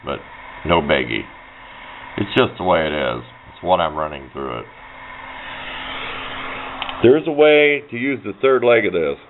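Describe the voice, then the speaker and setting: Low-voiced guy rambling through a homemade how-to, folksy, muffled audio with a bit of noise. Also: expressive, unhurried, long pauses. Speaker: hobbyist demonstrating electronics. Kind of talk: informal hands-on tutorial. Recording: dull lo-fi sound, faint background noise.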